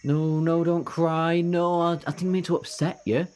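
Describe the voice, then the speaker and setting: A man's voice: fairly high pitch, flat delivery, moderate pace, a little mellow, natural voice. A man playing an eager, ageless time-travelling adventurer in an audio drama, earnest and persuasive with flippant asides. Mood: earnest, consoling. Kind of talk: fan audio drama acting.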